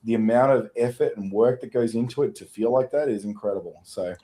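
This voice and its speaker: A man co-hosting a business podcast, talking in a relaxed, conversational way. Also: medium pitch, a little fast. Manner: relaxed, conversational, chuckles easily. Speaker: podcast co-host. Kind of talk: casual chat.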